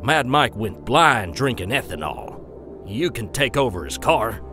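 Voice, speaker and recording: Man voicing older derby boss for motion comic: rough, bossy, lively inflection, middle register. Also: medium pitch, measured pace. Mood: gruffly generous. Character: gruff, hard-drinking older male derby boss. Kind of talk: motion comic character acting. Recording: music bed behind the voice.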